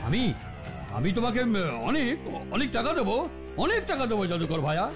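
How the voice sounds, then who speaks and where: A devious, ingratiating cartoon coach. The voice is high and very animated, stumbling and repeating as it wheedles. Some music sits behind it. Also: male voice, a little fast. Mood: wheedling. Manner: hesitant, ingratiating, repeating himself. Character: scheming, wheedling male running coach, eager and devious. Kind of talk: cartoon voice acting.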